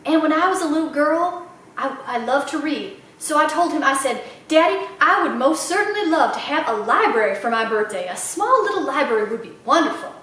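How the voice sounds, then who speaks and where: A woman's voice: sits high, animated, brisk, a bit bright. A woman voicing a proud old small-town Southern lady librarian who tells tales with dramatic, repeated emphasis for a children's storybook. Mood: proud, reminiscing. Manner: emphatic, repeats phrases for effect. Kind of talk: children's audiobook character voice. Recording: very echoey room, slightly distant mic.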